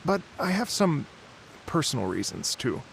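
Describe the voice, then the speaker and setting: Anime game wandering musician, courteous, wistful, lively intonation, some vocal fry. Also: male voice, a little high, moderate pace, a little hiss or hum, full character voice. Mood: earnest. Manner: polite, hesitant, earnest. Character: genteel, mild-mannered wandering musician, adult man, wistful storyteller.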